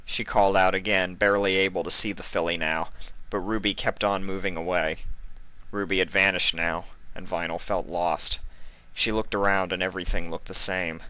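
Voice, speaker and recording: A man's voice: low voice, fairly even intonation, normal speed, sharp tone, smooth, dry recording, rough recording. A man reading a story aloud as its narrator. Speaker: fan fiction reader and narrator.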